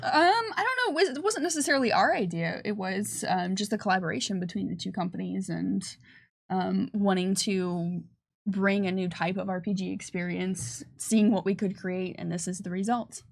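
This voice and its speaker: A woman's voice: mid-range voice, moderate pace, creaky. Woman chatting on a livestream. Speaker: game developer presenting on a company livestream. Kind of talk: casual livestream Q&A.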